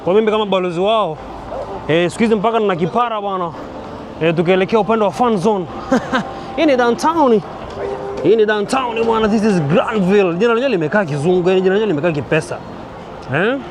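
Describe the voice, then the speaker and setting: A man's voice: high voice, quick pace, background noise. A Tanzanian man with a Tanzanian accent, chatting and joking to viewers as he films a walking vlog on a busy city street. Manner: joking, chatty, addresses viewers, laughs often. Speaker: vlogger. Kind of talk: casual walking vlog commentary. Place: busy city street outdoors at night celebration. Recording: street recording with loud crowd and music.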